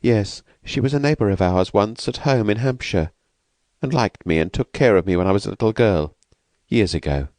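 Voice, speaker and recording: A man voicing a frightened, fragile young woman who has escaped from an asylum, speaking softly and hesitantly. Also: lowish voice, moderate pace, no room sound, pitched above the performer's own voice, natural voice. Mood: fearful, wistful. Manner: hesitant, vacant, soft-spoken.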